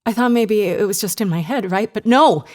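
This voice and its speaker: A woman's voice: mid-range voice, normal speed, darkish tone, natural voice. A woman playing an earnest, strong-willed therapist in an audio drama, speaking articulately and expressively. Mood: excited.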